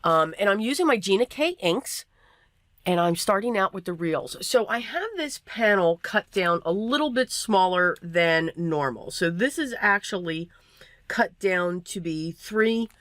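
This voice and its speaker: A woman with a voice worn hoarse by allergies, clearing her throat now and then as she chats casually through a card-making tutorial voiceover, with plenty of fillers and self-deprecating asides. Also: medium pitch, expressive, measured pace, fairly bright tone, no echo, clean studio sound.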